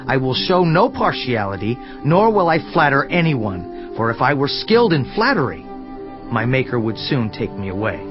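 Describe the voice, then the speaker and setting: A man's voice: medium pitch, big pitch swings, moderate pace, over music, close to an everyday voice. A young actor playing Elihu, an angry and outspoken young man, declaiming with urgency in a dramatized scripture reading. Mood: urgent. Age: young adult. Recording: slightly muffled audio.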